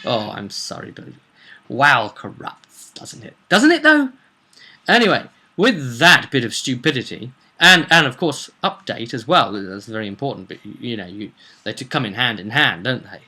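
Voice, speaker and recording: Bright-voiced man rambling about his roleplay game. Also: medium pitch, sing-song, normal speed. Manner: chatty, slips into acted quotes of characters. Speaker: game master recounting a tabletop roleplay session. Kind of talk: casual rambling vlog storytelling.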